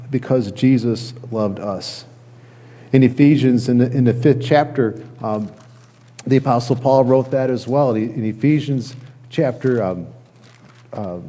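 A middle-aged man, a pastor, preaching a conversational sermon in an earnest, halting way with repeated phrases. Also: medium pitch, mellow. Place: church sanctuary, at a lectern indoors.

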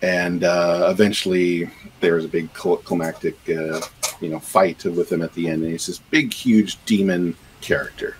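A man's voice: low voice, steady tone, moderate pace, noisy background. A middle-aged man, a game composer and sound designer, talking casually on a video call with many fillers and restarts. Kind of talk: casual podcast interview.

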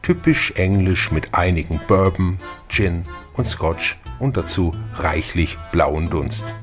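A man's voice: low-pitched, lively intonation, takes it slowly. A man narrating a German radio crime drama in a scripted storytelling style. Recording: music behind the voice.

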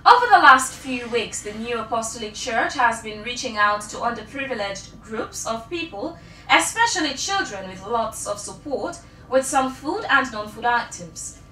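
Young woman reading the news, crisp bright voice, roomy echo, bit of rumble. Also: lively intonation, moderate pace. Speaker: news anchor. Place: indoor news studio. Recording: echoey room with faint rumble.

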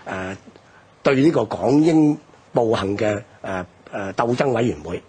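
A man with a husky, rough voice and a Hong Kong Cantonese accent, explaining history emphatically in a radio discussion, posing rhetorical questions. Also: fairly low pitch, expressive, a little slow, a little mellow.

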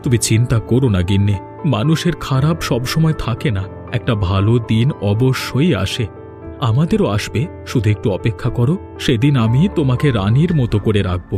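A man plays a cartoon village husband with a medium-pitched voice. He is poor but patient, earnest and soothing. Music runs behind his words. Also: lively intonation, normal speed, natural voice. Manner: earnest, reassuring. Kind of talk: Bengali cartoon voice acting.

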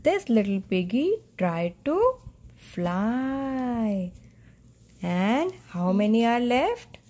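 A woman's voice: on the high side, big pitch swings, very slow, warm tone. A woman with an Indian accent reading a picture book to a small child and asking simple, instructive questions. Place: at home, indoors on a bed.

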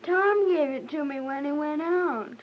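A sweet, clinging little girl in a radio drama. The voice is extremely high and slow, on a muffled, faintly noisy recording. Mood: delighted.

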